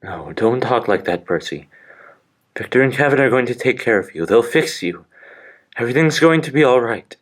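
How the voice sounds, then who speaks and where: Man acting out a young tank engine, urgent, pleading, husky edge, audio drama. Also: mid-range voice, expressive, normal speed, lightly acted. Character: anxious young male tank engine, earnest leader.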